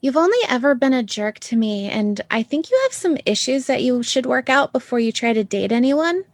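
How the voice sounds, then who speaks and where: A self-possessed schoolgirl played by a woman in a radio comedy, polite yet blunt. Her voice is fairly high and recorded close and dry. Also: lively intonation, brisk, no echo. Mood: politely firm. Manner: earnest, polite but blunt. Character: frank, self-possessed schoolgirl turning someone down. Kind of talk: comedy radio-play acting.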